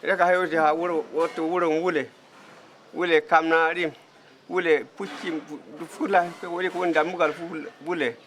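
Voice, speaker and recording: A man's voice: on the high side, flat delivery, normal speed, fairly bright tone, slight background noise. A man speaks spontaneously as a villager being interviewed. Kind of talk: spontaneous testimony.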